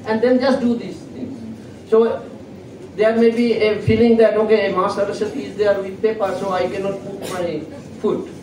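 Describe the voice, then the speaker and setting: Soft-spoken male professor, Indian English accent, raised pitch, lecturing haltingly; recorded from afar in a reverberant hall. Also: little pitch movement. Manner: halting, with frequent fillers and self-corrections. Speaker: chemistry professor. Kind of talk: classroom lecture. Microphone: fixed microphone at a distance. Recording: echoey hall, distant mic, noisy.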